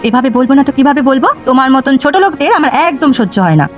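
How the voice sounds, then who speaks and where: A woman voicing a haughty, spiteful younger village woman in a cartoon, scornful and sharp-tongued. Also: high voice, very fast, close to an everyday voice. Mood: contemptuous. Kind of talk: Bengali cartoon voice acting. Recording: music behind the voice.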